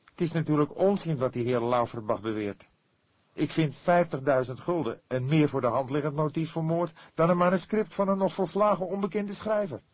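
A man's voice: a little high, normal speed, a little mellow, no room sound, voice pushed up, lightly acted. A man voicing an eager young male police detective in an audiobook, hesitant at times, protesting and emphatic.

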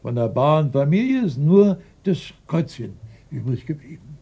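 An actor playing an eccentric, volatile man in his sixties in a radio play, excitable and erratic, with a raspy voice. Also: fairly high pitch, muffled recording, full character voice. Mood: chatty. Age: 60s. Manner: excitable, erratic, quick to outrage. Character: eccentric, volatile 65-year-old man in a lonely farmhouse. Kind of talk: German radio play acting.

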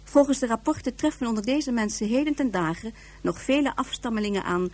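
A woman's voice: on the high side, a little fast, a little mellow, lightly acted. A woman performing as the narrator of a science fiction radio serial, reading in a scripted, informative documentary style.

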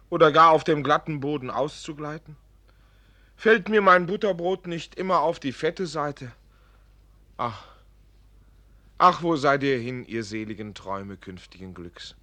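Young guy student, clumsy dreamer type, bright medium voice, dramatic radio play delivery. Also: mid-range voice. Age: young adult. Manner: flustered, lamenting, theatrical. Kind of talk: German radio play acting.

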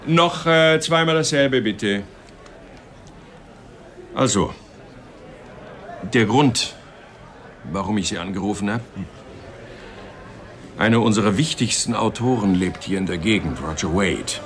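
An actor playing a polite, worried book publisher in a radio crime drama, businesslike and earnest. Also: male voice, lowish voice, lively intonation, measured pace, long pauses, fairly bright tone. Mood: courteous. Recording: studio radio play with bar crowd behind.

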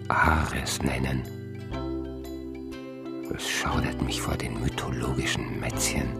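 A man's voice: rumbling low, full character voice. An actor playing an ancient, spiteful, scornful old man in a German radio drama, theatrical and volatile. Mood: whispered disgust. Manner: theatrical, swinging from exclaiming to hissed whispers.

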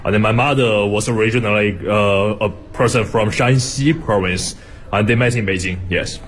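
A man's voice: fairly low pitch, steady tone, moderate pace, fairly bright tone, a little hiss or hum. A young man with a Chinese accent, answering interview questions hesitantly with some fillers. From China. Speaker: interviewee, PhD student. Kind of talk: casual interview.

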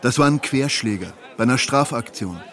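A man's voice: medium pitch, animated, moderate pace, fairly bright tone. A man acting in a German radio drama as a boastful, self-important SS soldier, smug and jovially menacing. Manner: pompous, smug. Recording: crowd murmur behind the voice.

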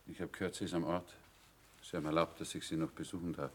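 A young condemned soldier in a radio drama. His voice is low and gruff, his words curt, defiant and bitter. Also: level tone, fast. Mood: terse. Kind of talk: German radio play acting.

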